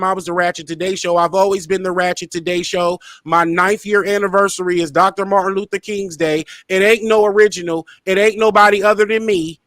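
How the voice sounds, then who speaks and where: A man's voice: sits high, fairly bright tone, no room sound, clean studio sound. An outspoken, playful man hosting a casual interview and talking about himself. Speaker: interviewer, show host.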